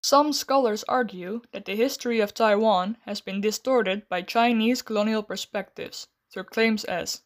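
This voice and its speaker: A woman host giving a scripted, measured explanation and then asking interview questions. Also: mid-range voice.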